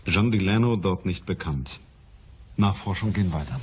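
A man's voice: sits low, moderate pace, a little hiss or hum, close to an everyday voice. An actor playing a shrewd, businesslike male private detective in a radio crime play.

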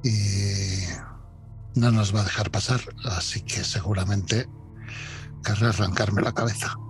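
A man's voice: on the low side, fairly even intonation, slow, faint music behind. A man playing a tabletop game, talking casually and bluntly, with some swearing. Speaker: player.

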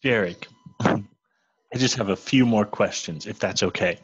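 A man's voice: lowish voice, measured pace, breathy edge. A man playing a polite, curious young female journalist with tactful questions in an amateur comedy read. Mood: polite curiosity. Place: home, on a group video call. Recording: muffled video-call audio.

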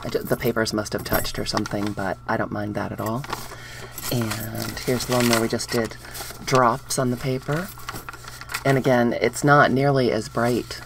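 A woman's voice: a bass voice, fairly even intonation, normal speed, creaky, noisy background. A woman hosting a casual craft tutorial, chatting upbeat with viewers while showing her dyed papers at a table. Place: indoors at a wooden craft table.

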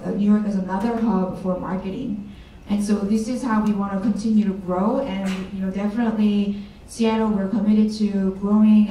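A woman's voice: mid-range voice, dark tone, a little hiss or hum. Woman, startup co-founder on a panel, hesitant with fillers; far camera in a very echoey hall. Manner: hesitant, many fillers and restarts. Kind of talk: panel discussion answers. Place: large event room with audience. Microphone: handheld mic, far camera. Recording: echoey room recorded from far off.

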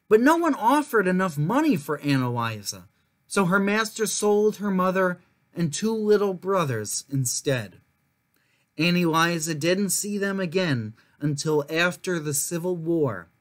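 A young man reading a children's picture book aloud as a storyteller, in measured, clearly phrased narration. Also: sits high, a bit bright. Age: young adult. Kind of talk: scripted narration, story time read-aloud.

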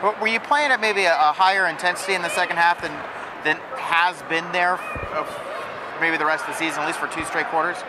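A man in his thirties interviewing players after a game, asking halting questions with repeated starts, on a lapel mic at an indoor arena. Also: a little high, steady tone. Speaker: sports reporter. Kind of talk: postgame interview. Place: indoor arena floor, crowd around. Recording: noisy arena with crowd.

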